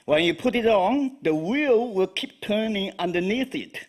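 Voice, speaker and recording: A man with a Chinese accent, whose first language is Chinese, giving a live poetry reading in a halting way with frequent fillers. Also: sits high, lively intonation. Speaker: poet.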